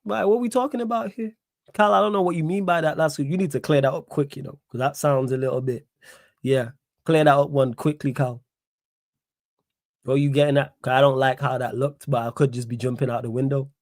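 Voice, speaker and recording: A man's voice: mid-range voice, fairly even intonation, fairly quick, darkish tone, no room sound, studio quality. A young man with a Manchester accent hosting a live stream, reading chat aloud and talking back indignantly, repeating himself for emphasis. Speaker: livestream host.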